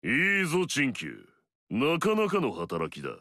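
A man's voice: medium pitch, wildly swooping pitch, unhurried, sharp tone, no echo, studio quality, full character voice. An actor playing an arrogant, fierce male warlord in a video game, swaggering and barking battle cries.

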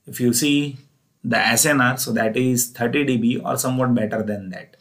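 A man with an Indian accent narrates a technical lesson in a medium-pitched voice. He explains step by step, repeating key points in a plainly didactic way, as a lecturer would over slides. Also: fairly even intonation, normal speed, fairly bright tone. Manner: didactic, repetitive step-by-step phrasing, often opening with "so". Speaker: engineering lecturer.

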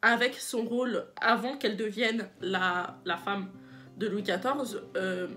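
A woman's voice: mid-range voice, slow, sharp tone, soft background music. A young woman with a stuffy, cold-congested nose, chatting casually and enthusiastically about books she read, often searching for words and repeating herself. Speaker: book vlogger. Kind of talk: casual book review vlog.

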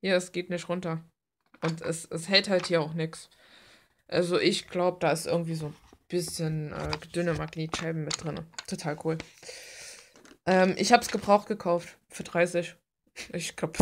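A woman's voice: lowish voice, steady tone, normal speed, slight background noise. A young adult woman rambling casually in an informal, playful, slangy way, like someone showing her hobby on a vlog. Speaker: hobby artist and video maker.

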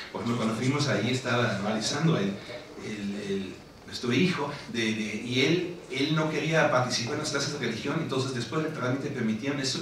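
German-accented man telling stories in an echoey hall. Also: medium pitch. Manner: animated storytelling, laughing, frequent tag questions. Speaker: author at a book presentation. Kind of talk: anecdotal panel talk. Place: large lecture hall. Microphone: table microphone on stand. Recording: echoey hall from far off with noise.

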